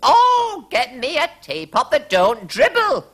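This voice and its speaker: Storybook queen, shrill and wailing, very high and bright, put on by the narrator. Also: wildly swooping pitch, slow pace, heavily acted. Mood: pleading. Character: shrill, frantic, demanding old-fashioned queen.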